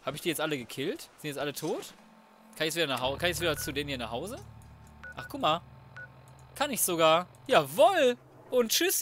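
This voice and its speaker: A man's voice: a little high, fairly bright tone, a little hiss or hum. A man giving casual gaming commentary, thinking aloud in a colloquial, cheeky way. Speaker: let's player.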